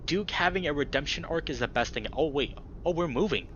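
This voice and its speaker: A young adult man reading a visual novel aloud with expressive narration and excitable, emphatic commentary. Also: fairly high pitch, a little fast, a little hiss or hum. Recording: slightly dull audio, music behind.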